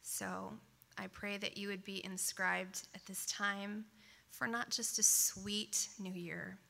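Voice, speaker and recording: A woman's voice: medium pitch, flat delivery, slow pace, vocal fry. A woman speaking to a congregation before a worship song, chatty and informal, with many asides, English not her first language. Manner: chatty, warm asides, many "um" and "right?" tags. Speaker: worship leader. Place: congregation service.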